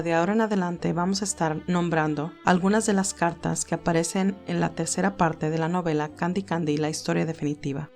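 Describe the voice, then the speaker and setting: A woman's voice: lowish voice, a little mellow. A woman narrating her own fan fiction in a scripted storytelling style.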